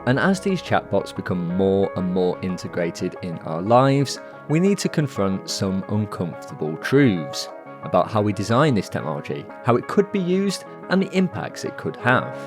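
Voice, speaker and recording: A man hosting a science podcast, reading scripted narration with a lively, expressive delivery. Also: normal speed, music in the background. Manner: lively, expressive delivery with wide pitch swings. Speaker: podcast host and reporter.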